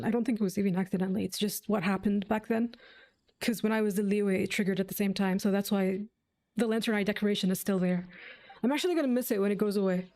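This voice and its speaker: A woman's voice: mid-range voice, dark tone. A young adult woman giving casual gameplay commentary as a streamer, chatty and thinking aloud. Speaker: game streamer. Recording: faint game music behind the voice.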